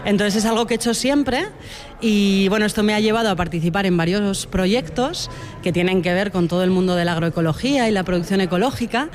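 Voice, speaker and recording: A woman's voice: medium pitch, a little fast. A woman speaks earnestly about her work as a beekeeper and educator. She talks in a thoughtful, searching way and often asks "right?" as she goes. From Spain (Huesca, Aragón). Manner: thoughtful, earnest, frequent tag questions. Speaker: beekeeper and environmental educator, interviewee. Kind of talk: radio interview answers.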